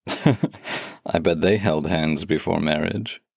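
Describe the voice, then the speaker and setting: Deep, gravelly male podcast host. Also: slow, no echo, clean studio sound. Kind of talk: intimate podcast talk with a read-aloud letter.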